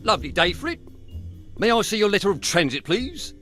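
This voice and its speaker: An actor playing an officious, insinuating British police constable in a video game, polite, clipped and knowing. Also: male voice, sits high, big pitch swings, a little fast, music in the background. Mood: sly officious. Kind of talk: video game cutscene acting.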